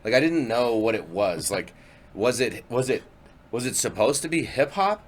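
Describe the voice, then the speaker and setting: A man's voice: mid-range voice, sing-song, normal speed. A man co-hosting a podcast in a studio, chatting with frequent restarts and a good-humored tone. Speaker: podcast co-host. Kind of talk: casual chat.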